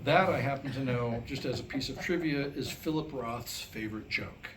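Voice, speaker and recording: A man's voice: medium pitch, moderate pace. A man telling jokes to live listeners in a conversational, wry stand-up style. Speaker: presenter telling jokes to a small group. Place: live staged reading before listeners. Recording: faint background noise.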